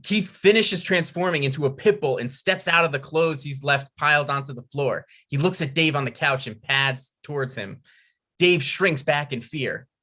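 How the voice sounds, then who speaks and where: A man's voice: a little high, normal speed, pushed and bright. A man reading out scripted stage directions as narrator at a comedy table read.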